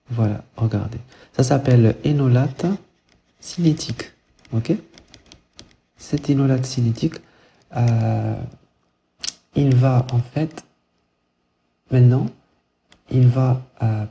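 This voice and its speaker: Male chemistry teacher, middle-aged, very dark mellow voice, muffled lo-fi recording, casual lecturing with frequent check-ins. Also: mid-range voice. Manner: thinks aloud with many fillers and restarts, checks in with "ok?". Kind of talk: whiteboard lecture. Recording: muffled lo-fi sound with faint hiss.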